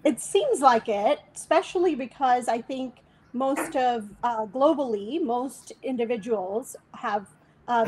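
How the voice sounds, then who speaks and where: A woman from Bangladesh, a tech executive, answering interview questions remotely in a measured way with frequent "um"s. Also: fairly high pitch, darkish tone, poor audio.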